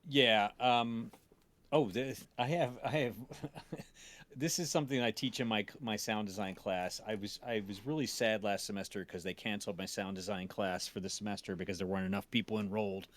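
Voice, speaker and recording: Lowish voiced guy explaining stuff like a teacher, halting, wanders off on tangents, livestream chat. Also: fairly even intonation, a bit bright. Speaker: sound design teacher. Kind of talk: informal explanatory talk on a livestream.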